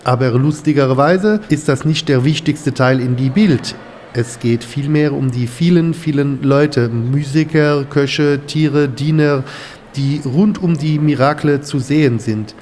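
An actor playing a courteous, enthusiastic French museum guide with a French accent, speaking deliberately and searching for words. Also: male voice, a little high, a little mellow, natural voice. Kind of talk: German audio drama, guided museum tour. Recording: reverberant hall with faint ambient noise.

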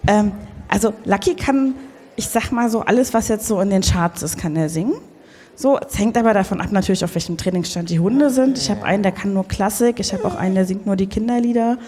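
A woman's voice: mid-range voice, a little fast, dark tone, natural voice. A woman playing an effusive, easily flustered dog trainer and former child singer in improvised comedy. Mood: proud. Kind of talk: improvised comedy audio play. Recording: reverberant hall.

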